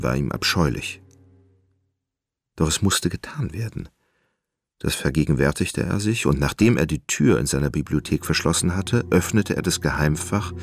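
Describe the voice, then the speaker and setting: A man narrating a literary radio drama in a measured, dramatic storytelling manner, in German studio style. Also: extremely low pitch, vocal fry. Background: plucked guitar music.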